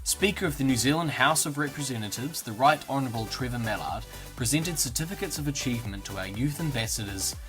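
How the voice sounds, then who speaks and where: Male narrator for a promo clip, smooth upbeat scripted read over soft background music. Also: mid-range voice, animated, fast talker, few pauses.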